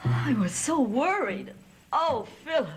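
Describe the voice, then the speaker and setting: A woman's voice: high-pitched, sing-song, slow pace, heavily acted. An actress playing an anxious, fond woman of the household, eager and emotional, in 1960s TV soap style. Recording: old TV soundtrack with music.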